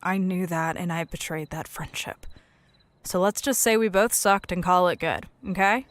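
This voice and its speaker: A woman's voice: fairly low pitch, steady tone, moderate pace, dry recording, natural voice. A woman playing a guarded, principled human negotiator in an audio drama, candid and matter-of-fact. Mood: wry, forgiving.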